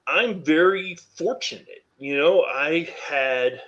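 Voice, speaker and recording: A deep-voiced man from North Carolina, a podcast guest speaking candidly and reflectively, with frequent "you know" fillers. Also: slow, fairly bright tone, lo-fi sound. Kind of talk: candid interview conversation.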